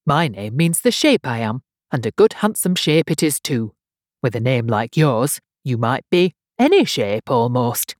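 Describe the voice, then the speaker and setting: A man voicing a pompous, touchy egg creature from a storybook, haughty and blustering, with halting stammers. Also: mid-range voice, big pitch swings, no room sound, clean studio sound. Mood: impatient, haughty. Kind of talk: storybook character reading.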